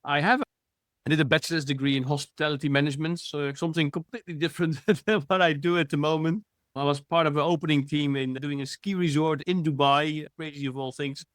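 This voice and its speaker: Interview guest: man, animated and chatty, slightly high-pitched, dry close sound. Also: fast, dry recording. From Netherlands. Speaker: guest, futurist. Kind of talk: interview answers.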